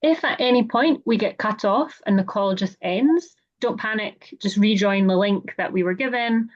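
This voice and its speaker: A woman's voice: mid-range voice, moderate pace. Scottish woman hosting a video call. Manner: chatty and welcoming, frequent "um" fillers. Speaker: webinar host. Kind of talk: hosting an online meeting, housekeeping announcements. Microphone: computer or headset mic on a video call. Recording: slightly dull video-call audio.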